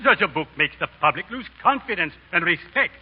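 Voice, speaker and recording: An actor playing a pompous, indignant government censor, stern and declamatory in 1930s radio drama style. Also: male voice, high voice, lively intonation, brisk, husky. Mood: outraged. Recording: dull, lo-fi 1930s radio broadcast.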